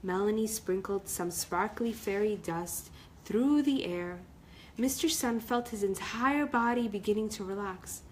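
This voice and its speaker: A woman's voice: medium pitch, a little slow, slight background noise, rough recording. A woman storyteller reading a children's book and chatting casually on a livestream. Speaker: author and storyteller.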